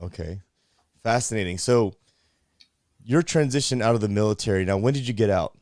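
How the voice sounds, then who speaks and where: Deep, smooth-voiced man hosting. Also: a little mellow, no echo, studio quality. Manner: measured, affirming, drawn-out questions. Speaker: podcast host. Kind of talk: conversational interview.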